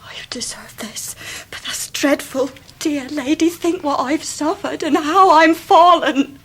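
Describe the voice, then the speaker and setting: A disgraced young in a Victorian radio drama. Her voice is high and slow. She speaks in an imploring, highly emotional way. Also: fairly bright tone. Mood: anguished. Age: young adult. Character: pleading, disgraced young working-class Victorian woman. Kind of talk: 1990s radio drama acting.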